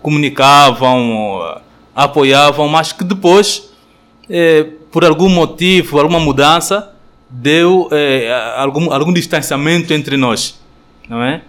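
A man with an Angolan Portuguese accent, a friar giving a radio interview answer, thoughtful and hesitant with many pauses and fillers. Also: a little high, fairly bright tone. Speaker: friar, guest.

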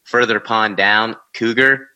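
A man's voice: lowish voice, steady tone, slow pace, pushed and bright, dull audio. A man, co-hosting a casual podcast and telling fishing stories in a laid-back drawl, laughing and swearing as he goes. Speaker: podcast co-host, former fishing guide. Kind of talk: casual chat and storytelling.

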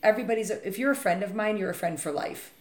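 Chatty woman with a slightly raspy voice. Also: mid-range voice, rapid-fire. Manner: chatty, enthusiastic storyteller. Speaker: real estate leader. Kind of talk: podcast conversation.

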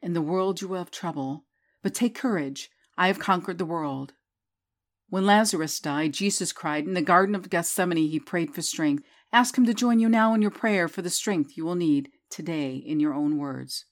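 Woman reading a slow guided prayer. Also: lowish voice, animated. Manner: slow, soothing recitation. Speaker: prayer leader. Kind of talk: guided scripture meditation.

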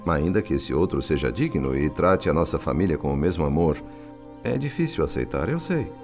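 A very low male voice with lively intonation plays a kind, earnest spirit in a Brazilian radio drama. He is formal and considerate, with a Brazilian accent. Faint music sits behind him. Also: brisk, heavily acted. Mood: compassionate, probing. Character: André, compassionate, respectful male spirit, a worried father.

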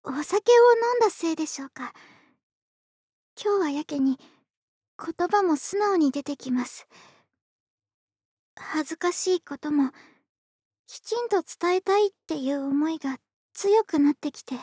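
Very high, tender actress as affectionate neighbor woman. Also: unhurried, pauses often, dry recording, studio quality. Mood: shy, tender, intimate. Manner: soft, gentle, polite, intimate murmuring with breathy whispers. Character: affectionate, polite young adult woman next door, tipsy and doting. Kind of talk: ASMR situation voice drama. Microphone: close dry studio microphone.

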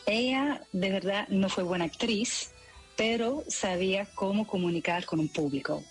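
A woman's voice: medium pitch, a little slow, faint music behind, low-quality recording. A woman from Belgium, speaking carefully as a guest choreographer on a radio program. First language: French. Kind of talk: radio interview.

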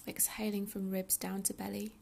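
Soft woman guiding meditation, slightly gravelly. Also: medium pitch, level tone, a little hiss or hum. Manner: soothing, unhurried, breathy soft delivery with pauses. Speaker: meditation guide, yoga teacher. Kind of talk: guided meditation.

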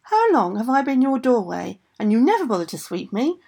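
A woman's voice: on the high side, very animated, brisk, vocal fry, mellow, husky, full character voice. A woman voicing aggrieved enchanted things that reproach their mistress in a storytale. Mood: indignant, reproachful. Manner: indignant, reproachful. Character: aggrieved enchanted household things and servants refusing orders.

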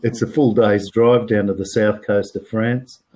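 A man's voice: fairly low pitch, steady tone, unhurried, a little mellow. A man in his sixties with an Australian accent, chatting frankly as an interview guest and often repeating words as he thinks. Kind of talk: casual interview chat.

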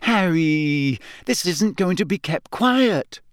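A man's voice: a little high, very animated, measured pace, no room sound, clean studio sound, voice pushed up. A man voicing an earnest, clever teenage schoolgirl who talks urgently and insistently.

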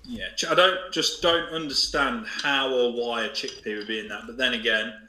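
A man's voice: mid-range voice, fairly even intonation, moderate pace, pushed and bright, reverberant, a little hiss or hum, poor audio. A man co-hosting a casual podcast, making dry joking asides while eating. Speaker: podcast co-host. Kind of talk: casual chat.

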